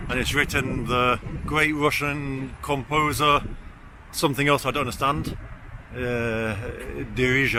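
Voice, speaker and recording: A man of forty with a weak R, chatting casually as he vlogs, blunt and self-deprecating with easy swearing. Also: medium pitch. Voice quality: cannot roll or pronounce R clearly. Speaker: vlogger. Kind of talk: casual walk-and-talk vlog. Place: outdoors on city streets. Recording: outdoor city and traffic noise.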